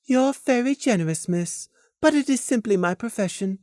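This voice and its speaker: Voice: mid-range voice, expressive, normal speed, darkish tone, no room sound, clean studio sound, voice pushed up, lightly acted. A narrator voicing a poised young female pony model with a courteous, demure manner. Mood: polite composure.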